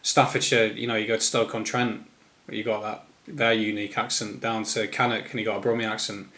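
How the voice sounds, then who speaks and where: A man with an English East Midlands accent giving an informal, unscripted opinion monologue in a rambling, halting manner. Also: lowish voice, little pitch movement, pushed and bright. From East Midlands, England. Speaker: solo commentator.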